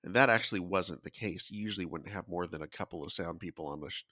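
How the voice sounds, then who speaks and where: Male podcast co-host, very low voice, informal but informative, dry recording. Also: fast talker, bright, forceful tone, dry recording. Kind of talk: casual informative talk.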